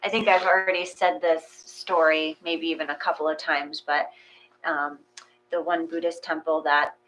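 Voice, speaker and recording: A woman hosting a meditation gathering, speaking in a reflective, halting way as she guides and chats. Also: low voice, level tone, a bit bright, a little hiss or hum, low-quality recording. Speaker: host and reader of guided meditation.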